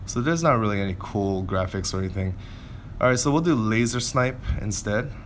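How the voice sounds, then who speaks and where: A man's voice: fairly low pitch, animated, brisk, a little hiss or hum. A man giving casual gameplay commentary, informally thinking aloud as he plays. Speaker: gameplay video creator.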